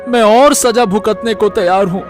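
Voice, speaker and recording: Meek middle-aged village dad for a moral cartoon , played by a man: high voice, pleading, full of regret, faint music underneath. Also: moderate pace, lightly acted. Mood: remorseful, despairing. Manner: pleading, lamenting. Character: distressed, remorseful village father, meek and pleading. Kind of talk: cartoon voice acting.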